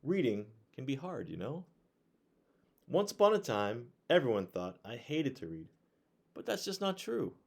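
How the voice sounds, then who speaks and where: A man reading a storybook aloud to children in an expressive way, pausing to put questions to his young listeners. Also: mid-range voice, creaky, slightly rough. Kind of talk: read-aloud storytelling to children, with conversational asides.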